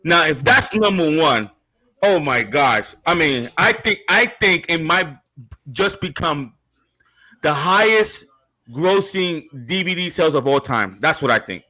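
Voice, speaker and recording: A man from Puerto Rico hosting a casual online show, animated, repeating himself and restarting often. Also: fairly high pitch, a little slow, rough recording. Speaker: host.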